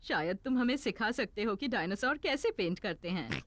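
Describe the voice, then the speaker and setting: A woman's voice: mid-range voice, moderate pace, dry recording, lightly acted. A woman voicing a kind, encouraging playgroup teacher, a lady animal in a children's cartoon. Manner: encouraging, expressive. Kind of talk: cartoon dubbing.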